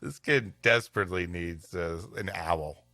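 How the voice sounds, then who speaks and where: A man with a bright voice reads tales and trades comic banter as a podcast co-host. His delivery is animated and playful, recorded very dry and close. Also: sits low, unhurried, dry recording, soft background music. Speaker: podcast co-host reading old stories aloud. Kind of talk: dramatic story reading with comic banter.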